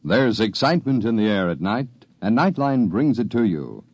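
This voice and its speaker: A low-voiced man reads a punchy promo for a late-night radio show. His pitch moves lively, and the recording is dry and dull, like an old broadcast. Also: normal speed, no echo. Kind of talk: scripted promo.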